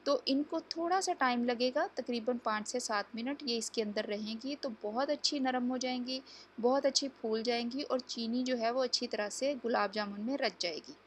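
A woman's voice: fairly high pitch, normal speed, a little hiss or hum. A woman hosting a home cooking tutorial, explaining each step to viewers in a chatty, instructive way. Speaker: cooking channel host. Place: home kitchen.

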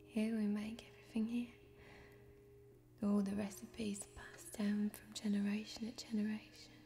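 Soft, mellow, whispery tavern barmaid played by a woman. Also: mid-range voice, flat delivery, a little hiss or hum, lightly acted. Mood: friendly, soft. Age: young adult. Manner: soft-spoken, whispery, unhurried. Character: friendly fantasy tavern barmaid, chatty and homely. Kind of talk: ASMR fantasy role-play. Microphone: close microphone.

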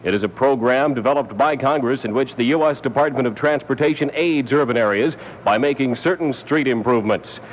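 A man's voice: mid-range voice, brisk, few pauses. American male radio news anchor, 1970 style, formal delivery; dull, faintly noisy air check sound. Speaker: radio newscaster.

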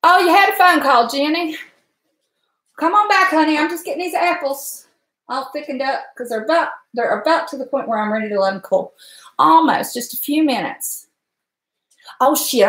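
A chatty woman in her forties with a Blue Ridge Virginia drawl, rambling warmly to her live-stream viewers as she stirs a pot. Her voice sits a little high, and the kitchen around her rings with echo. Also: animated, normal speed. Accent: Appalachian Virginia. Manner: folksy, rambling, talks to chat viewers. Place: home kitchen at night. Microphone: webcam at stove. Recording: echoey kitchen.

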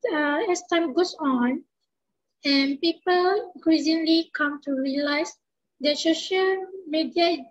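A young woman with a Malaysian accent reading a prepared panel answer over a video call, halting, with fillers and self-corrections. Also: sits high, dull audio. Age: young adult. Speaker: student panelist. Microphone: laptop microphone on video call.

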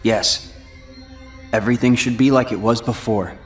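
A man's voice: medium pitch, fairly even intonation, normal speed, faint music behind, lightly acted. An actor playing a cool, brooding older teenage boy in a video game, with a reserved, serious, understated delivery. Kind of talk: English video game voice acting.

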